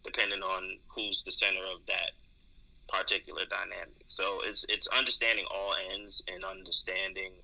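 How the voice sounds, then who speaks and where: A man's voice: low-pitched, little pitch movement, moderate pace, creaky, bright, forceful tone, rough recording. A man in candid podcast conversation, speaking thoughtfully and hesitantly, often repeating words as he explains. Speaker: podcast co-host discussing dating.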